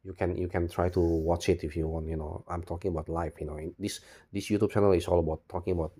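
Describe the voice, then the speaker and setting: Male vlogger, non-native English speaker, deep relaxed voice, unscripted rambling with frequent repeats and restarts. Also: slightly rough. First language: not English. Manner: repetitive, halting, restarts words and phrases often. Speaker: video blogger. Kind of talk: spontaneous rambling monologue.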